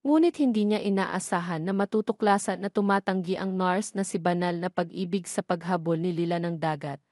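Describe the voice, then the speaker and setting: A woman's voice: medium pitch, darkish tone, no room sound, studio quality. A woman reading scripted narration of a romantic story, as a storyteller.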